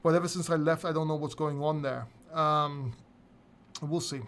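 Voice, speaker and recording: A man's voice: a little high, steady tone, normal speed, a bit bright. A man from Greece hosting a casual live crypto Q&A, reading viewer questions from chat and answering them candidly, sometimes swearing. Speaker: crypto channel host.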